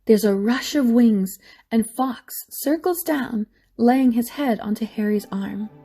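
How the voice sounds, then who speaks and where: A woman's voice: on the high side, takes it slowly, warm tone, breathy edge. A woman reading scripted story narration for an audio drama.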